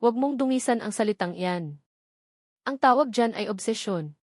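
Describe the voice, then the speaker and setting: A woman's voice: a little high, animated, normal speed, no echo, studio quality. A woman voicing a wealthy young man in a Tagalog romance story, cold, scornful and bitterly accusing, with an authoritative manner.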